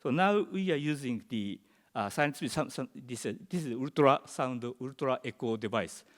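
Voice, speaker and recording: Japanese-accented male presenter, English as second language, halting delivery, mid-range voice, long hall echo. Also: medium pitch, expressive. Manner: halting, searching for words in English. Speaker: conference presenter. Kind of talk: conference presentation. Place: large conference hall.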